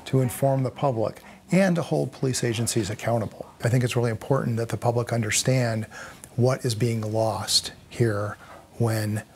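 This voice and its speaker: A man gives interview answers as an advocate. His voice sits at an ordinary middle pitch, with slight background noise. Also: steady tone, moderate pace, darkish tone. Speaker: freedom of information advocate, interviewee.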